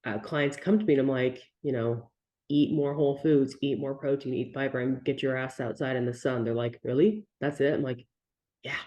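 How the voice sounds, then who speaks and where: A woman's voice: a bass voice, fairly even intonation, warm tone, highs cut off. A woman in her twenties, a guest nurse and nutrition coach, talking candidly and casually over a remote call, swearing now and then. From Canada. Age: 20s. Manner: candid and breezy, swears casually, quick explanations. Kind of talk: casual podcast interview.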